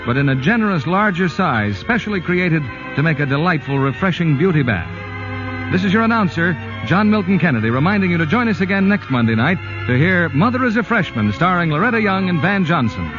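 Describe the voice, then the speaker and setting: Polished 1940s radio announcer. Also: male voice, fairly high pitch, sing-song, a little fast. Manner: smooth, polished announcer read. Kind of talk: scripted announcing and ad copy. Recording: muffled 1940s radio broadcast with music underneath.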